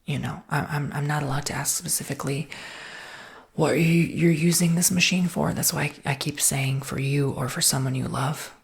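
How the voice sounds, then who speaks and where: A woman playing an easygoing, reassuring salesperson in an ASMR roleplay, soft-spoken and partly whispering in an informal, rambling way. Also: deep voice, fairly even intonation, moderate pace, creaky. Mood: gently cautioning, reassuring. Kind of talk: ASMR roleplay, improvised customer-service chat.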